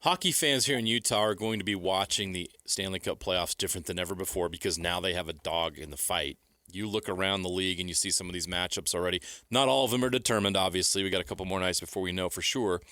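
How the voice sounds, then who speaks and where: Male sports host, very deep but forceful, punchy and casual questioning. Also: fast talker, no echo. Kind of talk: casual, punchy interview chat.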